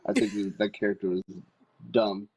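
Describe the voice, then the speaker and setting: A man's voice: on the low side, level tone, moderate pace, darkish tone, husky, no echo, rough recording. A man on a podcast panel, chatting casually and bluntly. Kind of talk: casual chat.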